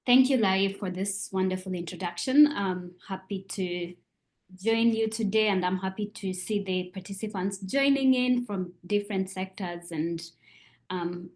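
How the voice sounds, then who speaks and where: A woman in her thirties from Kenya, moderating an online panel over a video call in a measured way. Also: on the low side, a little mellow.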